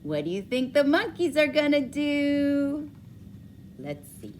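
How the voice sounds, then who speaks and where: A woman reading a children's story aloud expressively, with dramatic emphasis and drawn-out words. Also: high-pitched, very animated, a little mellow, slight background noise. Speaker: storybook reader for schoolchildren.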